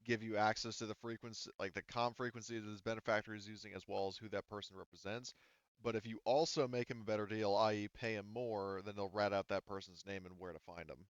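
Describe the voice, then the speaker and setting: A man's voice: lowish voice, steady tone, a little fast, clear, resonant tone, no echo, lo-fi sound. A man acting as game master, casually explaining and clarifying details to his players.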